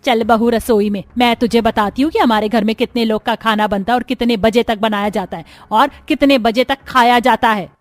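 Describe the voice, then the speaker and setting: A woman's voice: a little high, fairly even intonation, moderate pace, few pauses, dry recording, natural voice. A woman voicing a bossy older mother-in-law in a cartoon family drama, scolding and giving orders. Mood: commanding. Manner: scolding, commanding.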